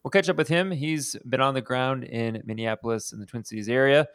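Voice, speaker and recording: A man's voice: medium pitch, fairly quick, a bit bright, clean tone, dry recording. Upbeat male podcast host, dry sound. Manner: upbeat, asks long questions. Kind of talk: podcast interview.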